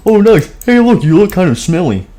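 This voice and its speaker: A man voicing an excitable, melodramatic male animatronic bear in a shrill, loud voice. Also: fairly quick. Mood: excited.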